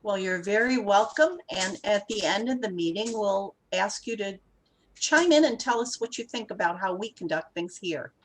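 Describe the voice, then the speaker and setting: A woman's voice: medium pitch, expressive, moderate pace, poor audio. A woman hosting an online club meeting over a video call, friendly and orderly as she introduces each speaker. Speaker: meeting host.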